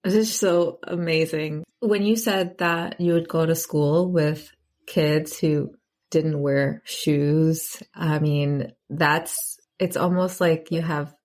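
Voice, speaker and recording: A woman's voice: low voice, steady tone, slow, darkish tone. A young adult woman hosting a podcast, reading a scripted intro and then interviewing a guest in an upbeat, enthusiastic way. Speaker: podcast host.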